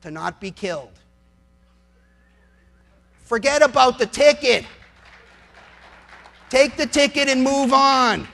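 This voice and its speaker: A man's voice: very high-pitched, animated, takes it slowly, gravelly, long pauses, bright, forceful tone. A middle-aged man, a lawyer, giving an impassioned political speech in an emphatic, sarcastic way, with rhetorical questions and repeated phrases.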